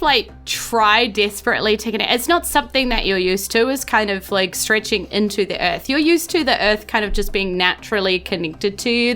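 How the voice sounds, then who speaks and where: A woman with a New Zealand accent running a tabletop game, improvising her descriptions in a halting, conversational way. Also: medium pitch, fairly bright tone. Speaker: game master of a tabletop game podcast.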